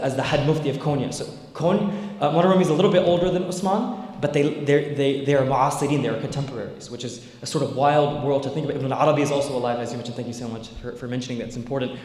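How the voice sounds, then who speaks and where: A man's voice: mid-range voice, a little mellow. A young man giving an informal history lecture as an Islamic scholar, talking conversationally with many fillers and tag questions. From Bay Area, United States. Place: large echoey hall. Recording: echoey hall with long reverb.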